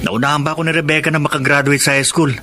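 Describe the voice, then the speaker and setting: A man's voice: on the high side, flat delivery, very fast, natural voice. A man playing a discouraged, self-deprecating teenage son in a Filipino radio drama. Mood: frustrated, dejected. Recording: faint background noise.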